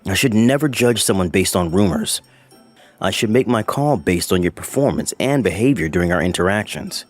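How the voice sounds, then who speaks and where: A man's voice: low voice, animated, normal speed, faint music behind. A man playing a stern, formal, authoritative company boss in a manga dub. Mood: formal, measured.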